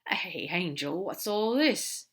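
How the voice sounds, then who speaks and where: A woman's voice: fairly low pitch, expressive, slow, fairly bright tone. A woman voicing a sly, fond male demon in a fan audiobook reading, with a casual, easygoing manner. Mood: concerned.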